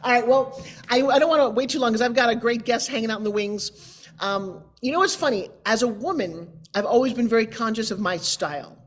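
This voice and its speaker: A woman's voice: on the high side, fairly quick. A middle-aged woman from New York, chatting informally and emphatically as a show host on a video call. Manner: emphatic, theatrical, conversational asides. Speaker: show host, motivational speaker. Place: indoor room, on a video call. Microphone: video call microphone.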